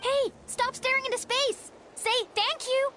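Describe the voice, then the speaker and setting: A woman's voice: extremely high pitch, steady tone, measured pace. A woman voicing a pushy, spirited young girl in an anime game, eager and argumentative. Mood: excited. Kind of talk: anime video game voice acting.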